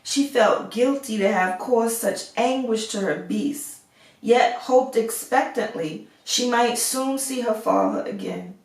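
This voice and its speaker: A woman reading a fairy tale aloud as a storyteller, in a measured, expressive way. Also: on the high side. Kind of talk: scripted fairy tale narration. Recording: echoey room, distant mic.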